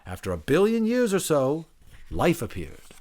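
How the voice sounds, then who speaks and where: Audiobook creature role, male performer: squishy, proud sea blob. Raised pitch, pompous, easily offended, very animated delivery. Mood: lofty storytelling. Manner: haughty, pompous, slow and deliberate. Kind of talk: audiobook character voice.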